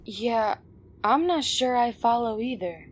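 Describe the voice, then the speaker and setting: A woman's voice: fairly high pitch, expressive, slow pace. A woman acting a supportive but probing teammate of a vigilante in an audio drama, with a direct, questioning manner. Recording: faint background noise and ambience.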